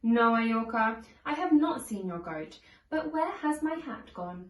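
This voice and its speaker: A woman reading a children's storybook aloud in simple, deliberate phrases, acting out the dialogue expressively. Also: on the high side, very animated. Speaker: storybook reader. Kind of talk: children's read-along storytelling with acted dialogue. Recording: echoey room, distant from the mic.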